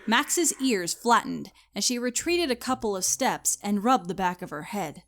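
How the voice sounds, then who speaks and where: A woman's voice: mid-range voice, animated, normal speed, fairly bright tone. A woman reading scripted story narration in a dramatic, storytelling style.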